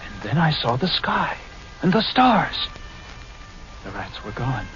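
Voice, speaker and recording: Through a dull vintage radio recording with prominent music underneath comes a haunted lighthouse keeper. He tells his horror tale slowly and broodingly, letting dramatic pauses build the suspense in classic radio-play style. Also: mid-range voice, very animated, a bit bright. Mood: hushed suspense. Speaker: actor narrating in character. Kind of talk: old-time radio horror monologue. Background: prominent ambient music.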